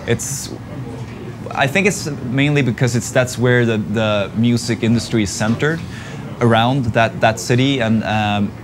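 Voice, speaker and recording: Low-voiced Swedish man, explaining fluently. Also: background noise. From northern Sweden. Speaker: band member. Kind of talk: casual interview answers.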